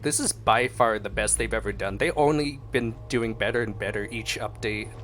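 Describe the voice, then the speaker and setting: A man's voice: lowish voice, normal speed, fairly bright tone. A man giving casual, off-the-cuff reaction commentary as a gaming streamer. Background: game music.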